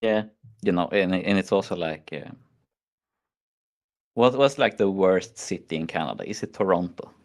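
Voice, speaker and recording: A man's voice: deep voice, expressive, normal speed, long pauses. A man with a Swedish accent chatting casually on a stream, rambling and mocking, with many fillers. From Sweden. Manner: rambling, mocking, frequent "like" and "you know". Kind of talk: casual chat.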